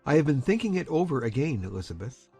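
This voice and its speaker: A man playing a reasonable, hopeful middle-aged uncle in a dramatized period novel, speaking in a measured, deliberate way. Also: mid-range voice, big pitch swings, darkish tone, slightly rough, faint music behind. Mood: hopeful, reasoning.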